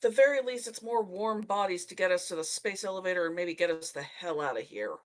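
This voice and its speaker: A woman's voice: on the low side, animated, fairly quick. A woman playing a tabletop roleplaying game, speaking in character with a wry, sardonic manner.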